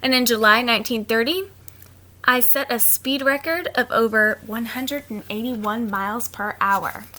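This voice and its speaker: High-voiced woman reading aloud to children. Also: normal speed, a little hiss or hum. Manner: expressive, simple explaining to young listeners. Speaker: teacher reading picture books aloud. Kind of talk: children's read-aloud with casual asides.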